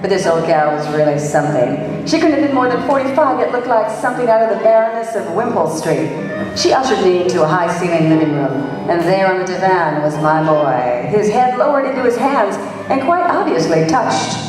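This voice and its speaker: A brash female gumshoe from a radio mystery, acted by a woman, narrating and sparring with dry sass. Her voice sits a little low and comes from well off the microphone in a big echoing room. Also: lively intonation, fairly quick, music in the background, close to an everyday voice. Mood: wry. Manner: sassy, dry, wisecracking. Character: brash wisecracking female private detective, narrating her case. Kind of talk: old-time radio detective play acting. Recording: echoey hall, distant microphone.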